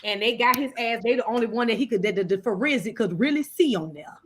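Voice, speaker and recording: High-voiced woman explaining on a casual panel. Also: quick pace, breathy edge. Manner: explanatory, street slang and swearing. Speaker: talk show panelist.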